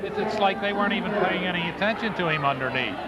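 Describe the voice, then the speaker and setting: A man giving chatty, opinionated color commentary on a high school basketball game. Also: animated, fast talker. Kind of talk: casual game analysis. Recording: muffled 1990s local broadcast tape, very loud gym crowd. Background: roaring crowd, ball bounces.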